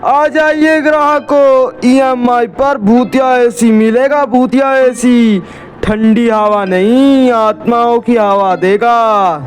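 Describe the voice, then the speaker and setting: Man voicing an eerie, slow-talking ghost shopkeeper. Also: very high-pitched, fairly even intonation, pitched above the performer's own voice. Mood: eerie, beckoning. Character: eerie old male ghost shopkeeper peddling air conditioners. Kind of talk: cartoon horror-comedy story.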